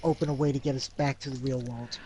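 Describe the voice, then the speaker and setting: A man's voice: mid-range voice, steady tone, quick pace, noisy background. A man chatting casually as a player in a tabletop role-playing game.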